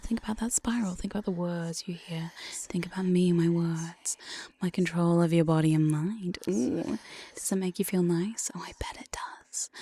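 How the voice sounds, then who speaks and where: A breathy demon mistress of a dungeon , played by a woman for an ASMR roleplay. She whispers close to the microphone in a soft, relaxed voice. Her manner is slow, coaxing and mock-sweet, with a teasing, domineering edge. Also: on the low side. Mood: sweetly teasing. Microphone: close binaural studio microphone.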